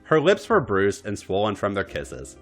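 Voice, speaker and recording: A man reads a romance story in a low, theatrical voice. His pitch rises and falls dramatically, and music plays underneath. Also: wildly swooping pitch, normal speed, fairly bright tone. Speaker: podcast story reader. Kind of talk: dramatic narration of erotic fan fiction.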